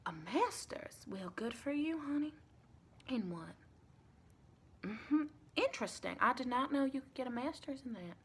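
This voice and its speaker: A soft-spoken woman playing a chatty, caring female vampire intake interviewer in an improvised ASMR roleplay, rambling and reassuring with pet names. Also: high-pitched, lively intonation, a little slow, long pauses, a little hiss or hum. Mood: chatty, matter-of-fact. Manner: rambling, reassuring, endearments like honey and sweetie.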